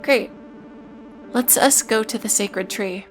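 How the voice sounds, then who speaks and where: A woman's voice: mid-range voice, takes it slowly, faint music behind, lightly acted. A woman voicing a devout female cult leader in a horror web series, instructing in stilted, formal, solemn phrases. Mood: solemn, welcoming.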